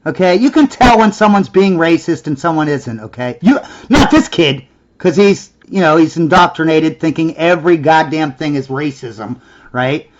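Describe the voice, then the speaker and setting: A man's voice: a little high, lively intonation, normal speed, highs cut off. A man hosting a reaction video, ranting in a sarcastic, scornful way and mocking the clip he watches. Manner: sarcastic, scornful, mimics in a whiny voice.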